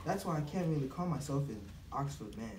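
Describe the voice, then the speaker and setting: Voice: mid-range voice, lively intonation, a little fast, darkish tone, a little hiss or hum, close to an everyday voice. A performer playing an earnest, insistent romantic rival who claims another man's wife in an amateur puppet show. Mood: measured. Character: earnest romantic rival, man, fixated and intense. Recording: roomy, from far off.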